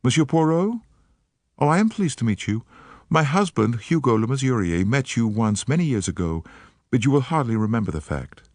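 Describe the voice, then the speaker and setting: A man's voice: medium pitch, big pitch swings, a little mellow, dry recording, studio quality, pitched above the performer's own voice. A man voicing a worried American woman. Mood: eager. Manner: earnest, quick and worried. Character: determined American woman, about thirty.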